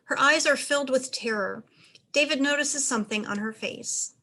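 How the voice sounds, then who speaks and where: A woman serves as narrator for a play script. She reads out stage directions in scripted narration, her voice pitched a little high. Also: normal speed, bright, forceful tone.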